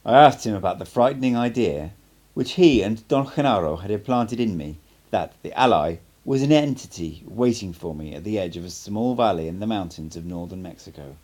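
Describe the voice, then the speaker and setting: A man reading a book aloud as an amateur narrator, with expressive, dramatic emphasis. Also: on the low side, a little fast, few pauses. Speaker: amateur audiobook reader.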